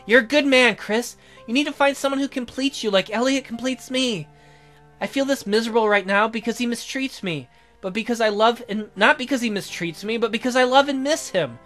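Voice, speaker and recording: A man's voice: very high voice, fairly quick, fairly bright tone, soft background music, voice pushed up. A man voicing a tender, guilt-ridden young woman from a romance visual novel, speaking in an earnest, pleading way. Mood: apologetic, earnest.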